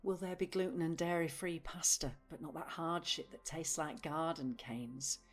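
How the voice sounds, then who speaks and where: A woman playing a blunt, literal, restless adult woman in a naturalistic audio drama, with a husky voice and an insistent manner. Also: low voice, moderate pace, lightly acted. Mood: blunt, fussy.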